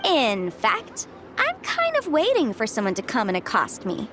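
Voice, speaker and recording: A woman voicing a chirpy, mischievous young half-immortal legal consultant with horns in a video game, talking in a playful, breezy, animated way. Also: a little high, measured pace, background noise. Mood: breezy, confident.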